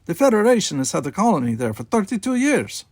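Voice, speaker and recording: A man's voice: sits high, big pitch swings, fast, a little mellow, dry recording, studio quality, voice pushed up, exaggerated voice. A man voicing a stern male Russian starship officer in an audiobook, with a Russian accent and a matter-of-fact, instructing manner. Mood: matter-of-fact, critical.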